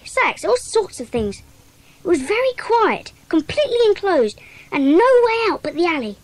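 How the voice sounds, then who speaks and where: A woman's voice: extremely high pitch, exaggerated voice, low-quality recording. A woman playing an eager, earnest schoolboy sleuth who gives detailed reports in a children's audio drama.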